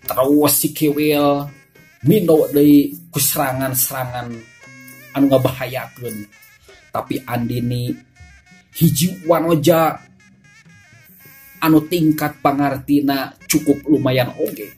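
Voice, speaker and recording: A woman with a Sundanese accent tells a dramatic folk tale. Her voice is extremely low and she takes her time between phrases. Soft music runs behind her. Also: fairly even intonation, takes it slowly, pauses often. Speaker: storyteller.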